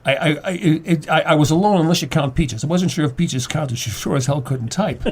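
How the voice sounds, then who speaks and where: A man in his seventies talking casually and reflectively as a guest writer, halting and repeating words as he goes. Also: on the high side, breathy edge. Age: 70s.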